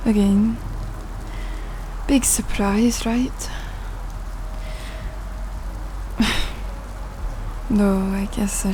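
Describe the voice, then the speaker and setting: A woman's voice: medium pitch, creaky, background noise. A woman playing a tired goth nightclub worker with a Scottish accent, sardonic but tender, speaking intimately to the listener in an audio roleplay. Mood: weary. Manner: sardonic, muttering, softly cooing, sighing. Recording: rain and city ambience behind voice. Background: rain on surfaces, thunder, city ambience.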